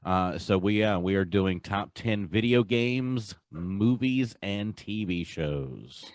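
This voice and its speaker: A young man co-hosts a casual podcast with a fairly low voice. He rambles, restarts and stammers on repeated words. The sound is dry and close to the mic. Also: expressive, slow pace, dry recording. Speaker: podcast co-host. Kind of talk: casual chat.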